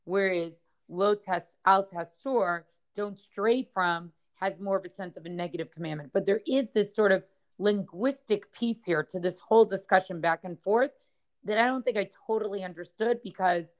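A woman's voice: mid-range voice, moderate pace. A woman teaching a study group through a text, thinking aloud with frequent checks and restarts. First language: English. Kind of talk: explaining a text passage.

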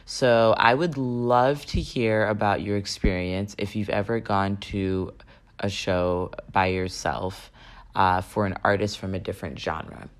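Slightly raspy woman hosting a podcast. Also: extremely low pitch, steady tone, unhurried. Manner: chatty, poses questions to listeners. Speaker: podcast host. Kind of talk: solo podcast monologue.

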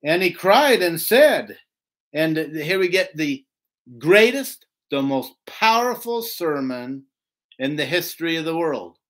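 A man's voice: on the high side, very animated, unhurried, fairly bright tone. A man giving an informal Bible lesson, reading and explaining scripture in an emphatic, rhetorical way that keeps checking for agreement. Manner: emphatic, rhetorical, often seeking agreement with "right?". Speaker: Bible teacher.

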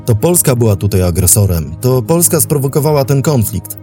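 A male host reads scripted documentary narration in a mellow, relaxed tone. His pitch sits in the middle, and faint music plays behind him. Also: normal speed.

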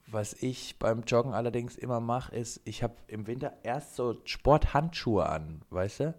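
A man's voice: medium pitch, unhurried, a little mellow. A young man co-hosting a casual podcast chat, joking and laughing often. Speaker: podcast co-host.

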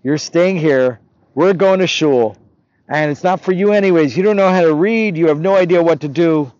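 A man's voice: on the high side, normal speed, darkish tone, no room sound, voice pushed up, close to an everyday voice. A man voicing a harsh, bossy rich stepfather, commanding and scornful, in a spoken folk tale. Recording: noisy dull recording.

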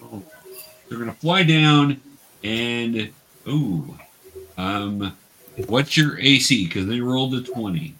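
A man with a mid-pitched voice acts as game master for young beginners at a tabletop game. He instructs patiently, step by step, and keeps the play moving as he explains. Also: animated, takes it slowly, long pauses, a bit bright, a little hiss or hum, low-quality recording.

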